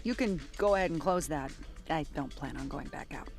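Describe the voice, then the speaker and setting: A woman's voice: low voice, a little fast, faint music behind, lightly acted. A woman playing a world-weary, sarcastic police detective in an audio drama, blunt and informal. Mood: dry, weary.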